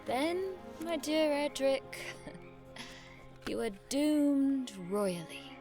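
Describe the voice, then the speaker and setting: A woman's voice: high voice, animated, extremely slow, music in the background, full character voice. A woman acting in an audio drama as a witty young noblewoman knight with an arch, teasing, theatrical manner. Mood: playful mockery.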